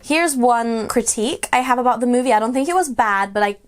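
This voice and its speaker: A woman's voice: a little high, expressive, fairly quick. A young woman with a blocked nose giving bubbly, laugh-filled reaction commentary on a film. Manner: bubbly, laughs often, enthusiastic.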